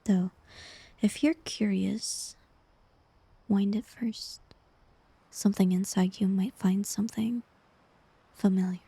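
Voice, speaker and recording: A woman playing a tender, heartbroken bard facing a former lover in an intimate fantasy ASMR roleplay, speaking softly and wistfully in a breathy near-whisper. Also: medium pitch, steady tone, exaggerated voice. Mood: quiet resignation. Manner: slow, gentle, wistful delivery with pauses.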